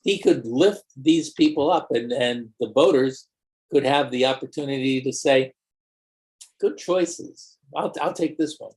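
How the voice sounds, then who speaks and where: A man arguing on a panel show in quick, emphatic bursts. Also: medium pitch, darkish tone, poor audio. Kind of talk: casual panel discussion.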